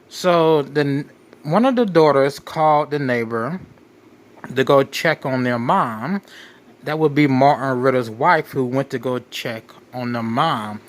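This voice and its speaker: A man hosting a crime commentary, reading out case details in a halting way and often repeating his phrases. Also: medium pitch. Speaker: show host.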